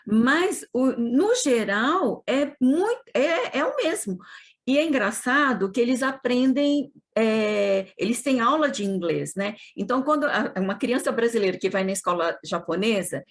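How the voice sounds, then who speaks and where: A woman's voice: on the high side, very animated, unhurried. A woman from Brazil who teaches Portuguese to children, explaining eagerly with many restarts in a casual panel talk. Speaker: heritage Portuguese teacher in Japan.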